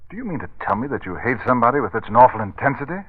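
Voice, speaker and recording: An actor playing a genteel, trusting married gentleman in old-time radio drama style, polite and formal. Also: mid-range voice, very animated, rapid-fire, slightly rough. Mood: shocked, incredulous. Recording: tinny, band-limited vintage radio recording.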